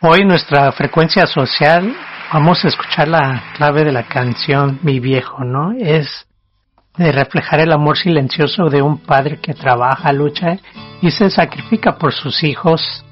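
A male radio co-host introducing a song segment in a measured tone. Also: a little high, dull audio, soft background music.

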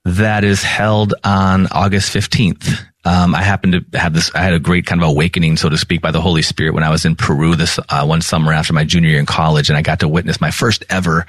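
A man's voice: deep voice, little pitch movement, fast talker, few pauses, no room sound. An American man from Minnesota giving an informal explanatory lesson as a theology teacher, stressing and stretching key terms. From Minnesota, United States.

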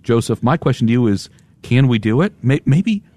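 A man co-hosting a radio fundraiser, chatty and quick to laugh while he reads out pledge details. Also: mid-range voice, very animated, dark tone, no room sound. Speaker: radio host. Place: radio studio.

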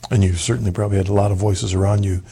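A man's voice: on the low side, fairly even intonation, rapid-fire, gravelly, mellow, breathy edge. A man interviewing a guest conversationally, interjecting with affirming remarks.

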